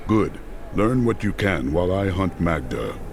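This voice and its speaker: A deep, grim demon hunter. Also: male voice, big pitch swings, measured pace, creaky, slight background noise, exaggerated voice. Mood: stern. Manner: terse, cold and determined. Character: grim male demon hunter, stern and resolute. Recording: game audio with loud music.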